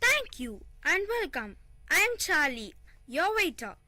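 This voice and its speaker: A woman's voice: high voice, big pitch swings, a little slow, sharp tone, no echo, faint music behind, full character voice. A woman voicing a courteous male waiter in a children's story. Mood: polite. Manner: courteous, formal. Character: polite male restaurant waiter.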